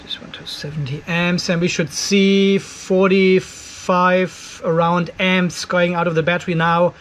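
A man's voice: high voice, level tone, measured pace, fairly bright tone, slight background noise. An older man gives casual, chatty technical commentary for a video, explaining things informally step by step. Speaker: host of off-grid DIY channel. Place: cluttered garage workshop, indoors.

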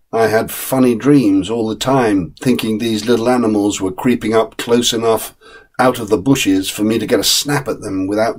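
A man voicing a loyal, plain-spoken dog who narrates a storybook tale in a measured, wistful, husky way. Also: on the low side, a little mellow. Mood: quiet, watchful. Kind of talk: dramatic short story reading.

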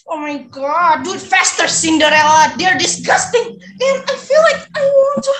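A woman's voice: very high voice, expressive, moderate pace, very noisy. A woman playing a cruel, bossy stepmother who dotes on her daughter, in a readers theater. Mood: flustered, soothing. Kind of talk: readers theater acting on video call. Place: indoors on a video call. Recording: roomy echo, background music.

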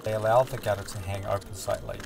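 Male DIY vlog narrator, steady explainer, low gravelly voice. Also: quick pace, background noise. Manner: calm, matter-of-fact explaining. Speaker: sailing vlogger. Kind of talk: scripted voice-over narration.

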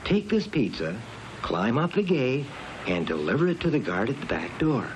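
Man voicing a harried, animated pizza shop owner. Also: medium pitch, moderate pace, a little hiss or hum, natural voice. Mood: bossy, relenting. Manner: exclaiming, scolding. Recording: muffled old cartoon soundtrack, background music.

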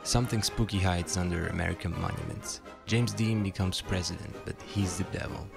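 A man delivers scripted essay narration in a very low, creaky voice. His manner is dry, with wry asides, and music plays clearly behind him. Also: steady tone, brisk.

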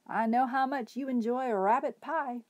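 A woman voicing an enormous bearded male hobo who speaks in a gently formal, unhurried, courtly way. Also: very high-pitched, steady tone, voice pushed up. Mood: genial.

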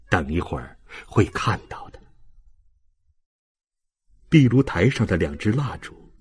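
Very husky, frail dying old father. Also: sits low, heavily acted. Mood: solemn, frail. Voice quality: husky, breathy. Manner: slow, halting, sentimental delivery. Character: frail dying old father, tender, humble, bidding farewell. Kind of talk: dramatic audiobook reading of a classic novel.

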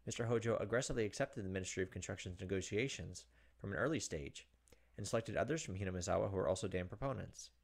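A man's voice: low-pitched, fast talker, gravelly. A man in his thirties narrating a visual novel aloud as a let's play host. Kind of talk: narrated let's play, dramatic reading with casual asides. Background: soft game music.

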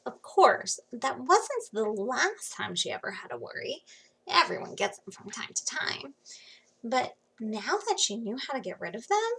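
A woman reads a picture book to young children at a very slow, careful pace. Her voice sits fairly high and has a slight creak. She uses dramatic pauses and hushed words for emphasis, recorded at home on a computer microphone. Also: sing-song. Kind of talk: expressive read-aloud of a children's storybook. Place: small home room, indoors. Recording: faint background noise.

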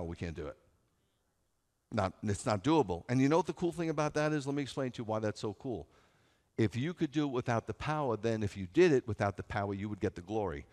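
A middle-aged American man preaching as a rabbi, conversational and wry, leaning on repetition and rhetorical questions for emphasis. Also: mid-range voice. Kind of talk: sermon. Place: large sanctuary, at a pulpit before seated listeners. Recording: reverberant hall sound.

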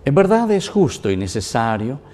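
Solemn middle-aged priest reciting Mass. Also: male voice, medium pitch, sing-song, normal speed, a little mellow. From Paraguay. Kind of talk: liturgical prayer at Mass. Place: small chapel indoors.

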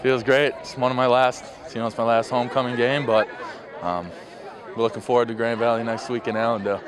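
A young man, a college football player, answering postgame questions outdoors in a measured way with filler ums. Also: mid-range voice, fairly even intonation. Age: young adult. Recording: crowd chatter outdoors.

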